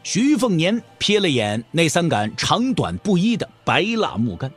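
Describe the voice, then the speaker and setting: Male audio drama narrator: colloquial, expressive, widely varied intonation, music faintly underneath. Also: a little high, moderate pace, slightly rough. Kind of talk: scripted dramatic storytelling narration.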